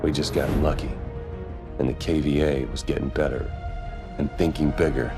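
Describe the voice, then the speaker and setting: An actor playing a grave soldier who narrates a game story, deliberate and gravelly. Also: male voice, fairly even intonation, over music. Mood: grim, foreboding. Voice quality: very deep, gravelly. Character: grave male soldier narrator looking back.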